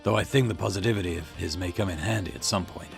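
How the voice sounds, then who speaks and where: A man voicing a gruff, impatient older police detective in a visual novel, with curt, weary, grumbling delivery. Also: deep voice, fast. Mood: guarded, serious. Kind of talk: acted visual novel dialogue. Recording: music behind the voice.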